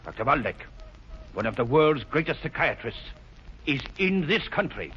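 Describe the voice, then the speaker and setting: An actor playing a menacing, scheming spy chief in a 1940s radio drama, commanding and theatrical. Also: male voice, mid-range voice, big pitch swings, moderate pace, fairly bright tone. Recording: muffled, noisy old radio recording.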